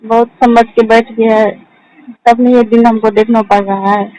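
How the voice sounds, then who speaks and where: A woman's voice: fairly high pitch, flat delivery, moderate pace, warm tone, no room sound. Curt young woman on a phone call. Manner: curt, refusing. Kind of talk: private phone argument.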